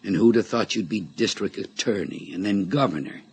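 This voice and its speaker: An actor playing a sly, menacing middle-aged blackmailer in a radio drama, taunting with mock-friendly, folksy familiarity. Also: male voice, fairly low pitch, moderate pace, a little mellow, husky. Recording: radio drama recording with background noise.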